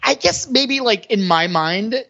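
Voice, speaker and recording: A man's voice: extremely high pitch, lively intonation, takes it slowly, gravelly, sharp tone. Dull-sounding recording of a chatty male podcaster. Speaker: podcast host. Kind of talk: casual film discussion.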